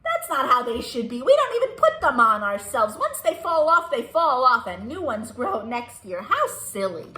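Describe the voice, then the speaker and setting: A woman's voice: high-pitched, very animated, moderate pace, few pauses, bright, forceful tone. A woman hosting a children's storytime, reading aloud in a sing-song way and asking young listeners simple questions. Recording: roomy echo.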